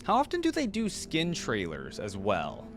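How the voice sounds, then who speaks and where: A man's voice: on the high side, wildly swooping pitch, normal speed, over music. A man giving casual reaction commentary as a video host, chatty and enthusiastic as he thinks aloud.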